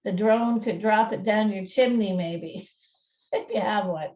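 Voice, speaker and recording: A woman working as narrator and presenter, telling a story in a measured, deliberate way with informal presenting. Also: mid-range voice, darkish tone.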